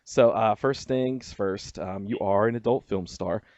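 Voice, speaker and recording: A man's voice: lowish voice, a little slow, darkish tone. A man hosting a radio interview in a casual, jokey, slangy and crude way. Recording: muffled roomy lo-fi radio interview audio.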